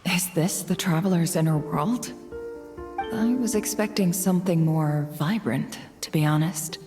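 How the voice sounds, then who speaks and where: An actress voices a composed, inquisitive woman from a fantasy game. Her voice sits low and she speaks with cool deliberation. Long reverb surrounds her, with music clearly underneath. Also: a little slow, natural voice. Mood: pensive. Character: composed, inquisitive woman infiltrating another person's mind. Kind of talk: video game voice acting.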